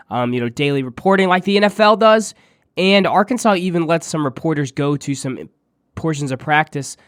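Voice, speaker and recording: A man with a congested, nasal voice while under the weather, hosting a podcast and giving a steady, stat-heavy sports preview. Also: on the high side, clear, resonant tone, no room sound, studio quality. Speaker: podcast host.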